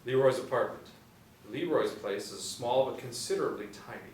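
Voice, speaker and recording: A man's voice: mid-range voice, moderate pace, darkish tone. A middle-aged man reading scripted stage directions as the narrator. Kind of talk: scripted narration at a table read. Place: small indoor room, seated reading. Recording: echoey room, distant mic, faint noise.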